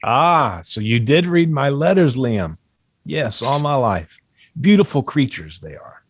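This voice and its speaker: Brief: actor as eccentric gentleman father, very lively intonation, theatrical, genial, period comedy table read. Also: mid-range voice, a little slow, slight background noise, lightly acted. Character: genial, eccentric well-to-do father, a bird dealer.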